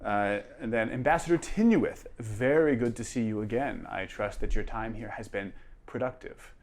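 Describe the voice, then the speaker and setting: A man's voice: fairly low pitch, moderate pace, darkish tone, close to an everyday voice. A man voicing a cool, polite, imperious visiting elven nobleman in a tabletop game, with formal courtesy. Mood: politely commanding. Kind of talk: in-character tabletop roleplay.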